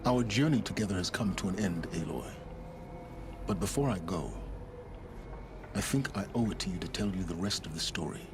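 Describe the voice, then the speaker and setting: An actor playing a secretive, scheming male scholar in a video game, speaking gravely and cryptically. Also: sits low, lively intonation, normal speed, long pauses, background noise.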